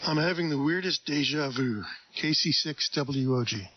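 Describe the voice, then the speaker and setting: A man's voice: a little high, normal speed. A man chatting casually as an amateur radio operator over a radio transmission. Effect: ham radio transmission. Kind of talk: casual ham radio chat. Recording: dull hissy shortwave radio audio.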